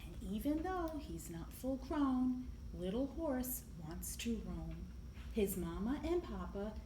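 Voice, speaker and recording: A woman reading a picture book aloud to young children in an expressive, deliberate storytelling manner, with emphasis on the sound words. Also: a little high. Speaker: library storyteller. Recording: echoey room, clear background noise.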